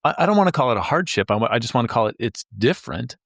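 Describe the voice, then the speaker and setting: A man's voice: mid-range voice, very animated, rapid-fire, creaky, a bit bright, no echo, clean studio sound. A man hosting an interview podcast, upbeat and enthusiastic in a casual chat, often restarting his words. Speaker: podcast host.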